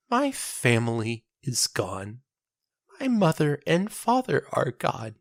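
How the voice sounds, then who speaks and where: A performer voicing an anguished dying woman of about thirty, a grieving wife and mother who pleads in drawn-out phrases, in an audio drama. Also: medium pitch, no echo, studio quality, voice pushed up.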